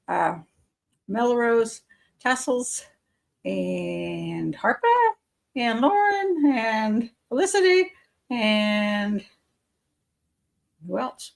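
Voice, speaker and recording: A woman who works as a criminal profiler hosts a casual live members' show, rambling and chatty, losing her voice and clearing her throat. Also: a little high, big pitch swings, slow pace, long pauses. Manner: chatty and rambling, with frequent fillers and soft laughs. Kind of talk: casual live-stream chat.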